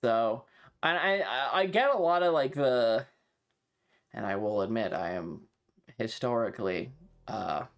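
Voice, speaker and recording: A man chatting casually on a livestream, rambling and halting, swearing freely as he talks. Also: mid-range voice. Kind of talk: casual rambling stream chat.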